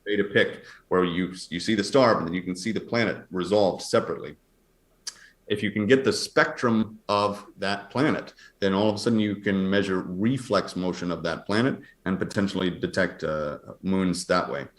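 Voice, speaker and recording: A man speaking as an astronomer guest, explaining science conversationally in a halting, thinking-aloud way. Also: low voice. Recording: roomy, echoey sound.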